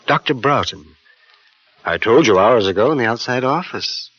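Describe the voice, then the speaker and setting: An actor playing a calm, clinical doctor in a vintage radio drama, measured and insistent. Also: male voice, mid-range voice, slightly rough. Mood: grave. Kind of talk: 1940s radio acting. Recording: muffled old radio broadcast.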